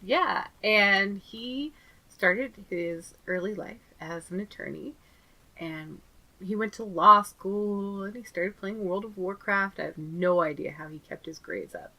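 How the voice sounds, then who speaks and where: A woman co-hosting a podcast, with a voice in the middle of the usual pitch range. She recaps in a chatty, casual way, laughs a lot and slips into little acted-out quotes. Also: takes it slowly, sharp tone, rough recording. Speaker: podcast co-host.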